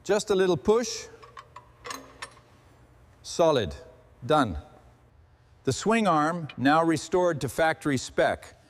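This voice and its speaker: A man hosting and narrating a restoration in a scripted, calm workshop commentary style. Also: a little high, slow pace, long pauses. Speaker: host narrating a motorcycle restoration. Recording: long hall-like reverb.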